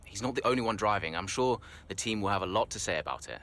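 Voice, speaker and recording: A man's voice: on the low side, animated, fast talker, fairly bright tone, a little hiss or hum. A man playing a guarded young racing driver being interviewed in a video game cutscene. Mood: irritated, guarded.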